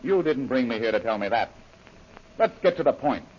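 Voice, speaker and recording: Husky male voice, blunt jungle hero, curt, defiant, mid pitch, vintage radio drama, muffled recording. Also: expressive, moderate pace, darkish tone. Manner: curt, plain-spoken. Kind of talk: 1930s radio acting. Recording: dull lo-fi old radio recording.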